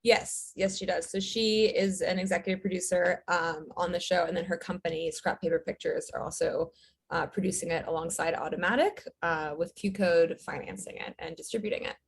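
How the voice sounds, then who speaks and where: A woman in her thirties, a writer-director guest, chatting in a relaxed way with lots of "um"s. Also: lowish voice, fairly quick, vocal fry, husky. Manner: relaxed, fillers, light laughs. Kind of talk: casual interview chat.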